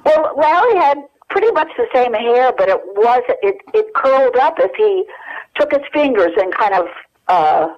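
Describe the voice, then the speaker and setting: An older American woman reminiscing as an interview guest, telling anecdotes haltingly over a telephone. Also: high-pitched, very animated. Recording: dull lo-fi telephone hook-up.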